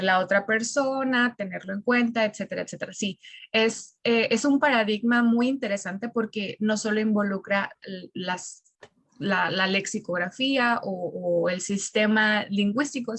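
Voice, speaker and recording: A woman's voice: mid-range voice, no echo. Mexican woman teacher, close dry sound. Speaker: language teacher and linguist, interview guest. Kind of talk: conversational interview, explaining.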